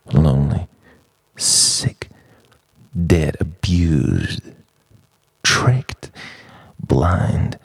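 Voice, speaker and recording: A man delivering an introspective spoken-word monologue in short, halting, dramatic phrases. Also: rumbling low, creaky, a little mellow, dry recording. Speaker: narrator of own written reflection.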